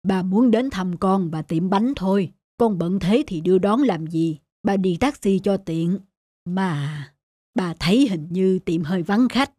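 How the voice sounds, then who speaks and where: A woman voicing a calm, affectionate elderly father and bakery owner in a measured, fatherly way for an animated story. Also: medium pitch, no echo, studio quality. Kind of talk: animated moral-story acting.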